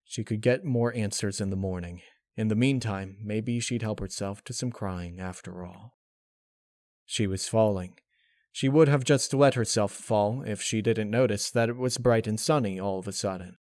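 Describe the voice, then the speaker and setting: A man reading a dark fan-fiction story aloud as narrator, in a dramatic scripted style. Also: fairly low pitch, moderate pace, dry recording, clean studio sound.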